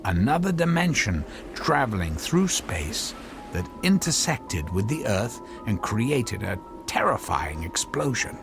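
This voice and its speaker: Stammering middle-aged scientist, low and animated. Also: male voice, vocal fry, music in the background, exaggerated voice. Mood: earnest, intense. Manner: halting, stammering, repeats words. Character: middle-aged obsessed, apologetic male scientist, henpecked husband. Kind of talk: radio drama acting.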